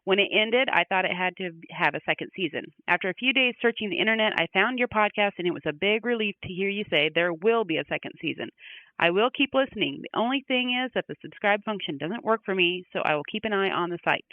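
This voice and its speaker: A woman's voice: mid-range voice, animated, fast, no room sound, phone-quality sound. A woman co-hosting a fan podcast, reading listener emails and chatting over a thin remote call line. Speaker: podcast co-host.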